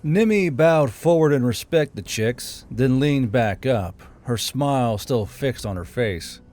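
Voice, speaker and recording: Male narrator, medium pitch, scripted fantasy storytelling for an audiobook. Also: expressive, unhurried, a little mellow.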